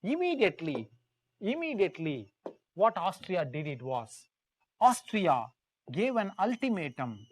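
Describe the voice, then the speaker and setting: A man's voice: high voice, huge pitch swings, unhurried, a little hiss or hum. A man with an Indian accent gives a history lecture as a teacher, telling it like a story, emphatically repeating key phrases and putting questions to the class. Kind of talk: live classroom lecture told as dramatized story.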